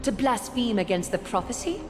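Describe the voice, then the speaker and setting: A woman's voice: a little high, expressive, a little fast, natural voice. A woman voicing a cold, imperious female leader in a video game, speaking in a stern, formal, commanding manner. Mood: stern, indignant. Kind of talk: dramatic video game voice acting. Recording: long reverb, music behind.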